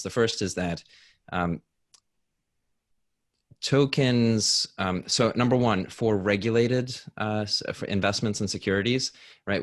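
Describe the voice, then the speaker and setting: A man's voice: low voice, a bit bright, no room sound, clean studio sound. A man speaking as a debate panelist on a video call, making his case with wry, ironic examples.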